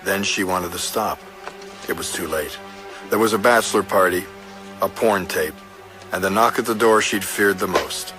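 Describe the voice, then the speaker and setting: A terse detective, low voice, hard boiled cop show thing with music behind. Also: male voice, moderate pace, sharp tone. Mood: grim. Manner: clipped, terse. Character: terse, driven male police detective narrating his case. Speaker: actor in a detective drama. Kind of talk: hard-boiled police drama narration and dialogue.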